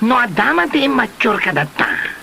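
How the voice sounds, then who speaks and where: Man acting a brash, high-pitched, swooping scolding neighbor. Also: wildly swooping pitch, normal speed, creaky, sharp tone, a little hiss or hum, full character voice. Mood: scolding, taunting. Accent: Ilocano Filipino. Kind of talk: amateur comedy drama acting.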